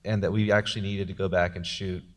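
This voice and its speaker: A man with a fairly low voice talks loosely about making his film, full of ums and small jokes. His words ring on in a big reverberant hall. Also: level tone, fast. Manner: jokey, rambling with many fillers. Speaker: filmmaker. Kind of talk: post-premiere panel talk.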